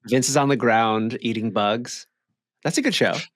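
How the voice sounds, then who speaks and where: A man's voice: mid-range voice, normal speed, no echo, studio quality. An American man co-hosting a podcast in casual, conversational banter. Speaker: podcast co-host.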